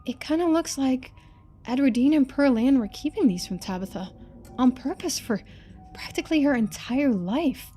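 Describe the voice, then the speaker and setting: A woman's voice: sits high, animated, moderate pace, mellow, soft background music, pitched above the performer's own voice. A woman voicing a chatty, earnest young video maker from a story game, speaking casually and expressively. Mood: worried.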